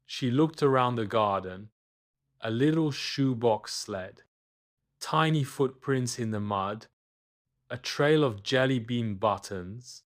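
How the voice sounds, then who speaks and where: Casting: man to narrate kids' bedtime stories, unhurried, mid-range voice, expressive with dramatic pauses. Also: medium pitch. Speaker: storyteller, narrator. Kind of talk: scripted children's bedtime story narration.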